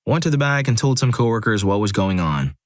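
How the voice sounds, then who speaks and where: A man narrating a compilation of first-person stories in a steady, scripted reading, with dry, wry asides. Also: on the low side, fast, no echo.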